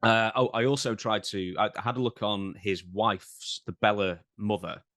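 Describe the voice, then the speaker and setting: A man with a fairly low voice co-hosts a podcast in casual conversation. He moves the discussion along with a wry touch. The recording is very dry, free of room echo. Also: steady tone, normal speed, fairly bright tone. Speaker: podcast co-host. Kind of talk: casual chat, guiding topics.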